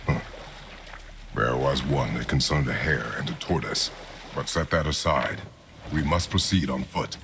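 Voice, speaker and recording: An actor playing a stern, stoic warrior father who talks in terse, formal commands with a gravelly voice in a cinematic game. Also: extremely low pitch, measured pace, long pauses. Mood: stern, reserved. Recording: noisy water ambience.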